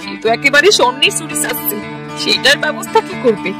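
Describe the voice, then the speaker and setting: Worried high-voiced village mother. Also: lively intonation, fast talker. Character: worried, long-suffering village mother of three daughters. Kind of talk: cartoon voice acting. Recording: music under the voice.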